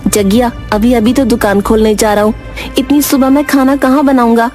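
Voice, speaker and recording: A woman's voice: fairly high pitch, steady tone, moderate pace, dark tone, soft background music, lightly acted. A woman voicing a stubborn, principled young village son in a Hindi cartoon, earnest and polite. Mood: friendly. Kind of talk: Hindi moral-story cartoon acting.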